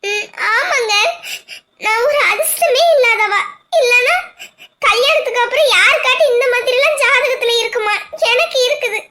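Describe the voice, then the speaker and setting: A woman's voice: very high-pitched, brisk, noisy background. A woman playing a young wife who weeps and calls herself unlucky, in an amateur family drama. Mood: bitter, teary. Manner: sobbing, self-blaming lament. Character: tearful young wife, sure she is unlucky. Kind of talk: amateur domestic drama acting.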